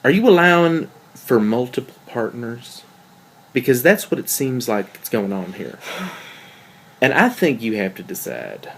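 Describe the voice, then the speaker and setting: A man's voice: medium pitch, very animated, a little slow, gravelly, a little hiss or hum. A man playing a jealous, hurt boyfriend in a dramatic table read, questioning in a pointed, sardonic way. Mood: hurt, insistent. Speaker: actor in a script table read.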